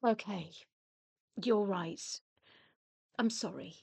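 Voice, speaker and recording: An actress playing an exasperated, matter-of-fact British widow in a radio play, with a dry, brisk manner. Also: female voice, mid-range voice, gravelly, a little mellow, breathy edge, no echo, heavily acted. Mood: weary, apologetic. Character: exasperated, matter-of-fact widow nagged by her dead husband.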